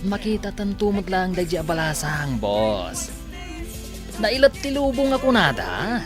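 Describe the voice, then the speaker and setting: A man's voice: high-pitched, big pitch swings, unhurried, over music. An actor playing a deferential, chatty male sidekick to his boss in a radio drama.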